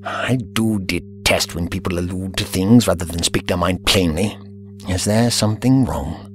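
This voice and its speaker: A man's voice: deep voice, moderate pace, soft background music, lightly acted. A man playing an aloof, vain time-travelling inventor in an audio drama, speaking in a formal, pompous, dismissive way. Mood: haughty, irritated.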